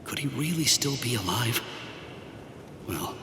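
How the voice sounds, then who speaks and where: An actor playing a brooding, earnest young warrior lieutenant with a blunt manner in an anime game. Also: male voice, mid-range voice, animated, measured pace, sharp tone, slight background noise. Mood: brooding, hushed. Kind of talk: English-dubbed anime game dialogue.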